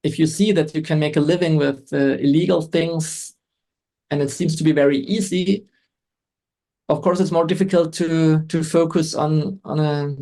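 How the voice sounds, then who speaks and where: A middle-aged man with a gravelly edge and a slightly raised pitch for a man. He talks in a halting way, restarting sentences and reaching for words. It sounds like a relaxed podcast interview. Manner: hesitant, frequent restarts and fillers. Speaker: guest photographer.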